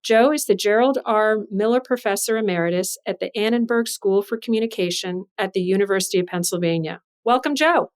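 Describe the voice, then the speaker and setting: A woman's voice: mid-range voice, a little fast, hardly any pauses, clean tone. A woman hosting a podcast interview, introducing guests and putting questions to them. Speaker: podcast host and journal editor.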